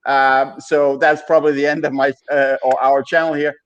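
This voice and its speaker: A man hosting a casual panel over a video call, with a halting, hesitant delivery. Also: mid-range voice, little pitch movement. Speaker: host.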